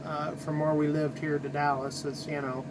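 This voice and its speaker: A middle-aged American man, a truck driver, rambling casually in a halting, conversational way to his vlog. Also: a little high, flat delivery, noisy background.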